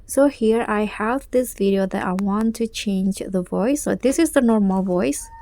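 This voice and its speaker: A woman narrating a casual software tutorial as its host. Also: mid-range voice, a little slow.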